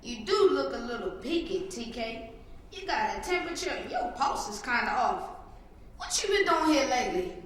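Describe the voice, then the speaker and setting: A teenage girl playing a brisk, folksy Southern country doctor in a dramatic stage reading. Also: high-pitched, very animated, a bit bright. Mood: concerned, probing. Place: public reading in a hall. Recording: very echoey hall, faint noise.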